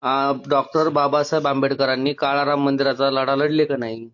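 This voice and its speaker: A man's voice: medium pitch, flat delivery, normal speed, a bit bright. A man in a phone debate, persistently challenging the other person. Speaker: caller challenging an activist. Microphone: phone call. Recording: dull lo-fi phone call recording.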